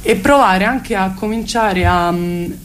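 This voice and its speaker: A woman's voice: medium pitch, measured pace. A woman explains things as a radio interview guest, discursive and often rephrasing herself. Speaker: guest representing a cultural collective. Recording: faint background noise and ambience.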